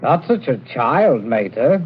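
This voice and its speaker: Husky, sneering young man. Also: medium pitch, big pitch swings, a little slow. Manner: mocking, drawling, callous. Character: flippant, sneering grown son mocking his sister. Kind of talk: radio drama acting. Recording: dull, lo-fi radio drama audio.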